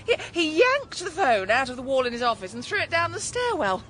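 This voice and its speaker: A woman's voice: high-pitched, big pitch swings, a little fast, fairly bright tone, a little hiss or hum. An actress playing an upset wife who is leaving her husband, in a radio comedy drama. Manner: agitated, rambling complaints.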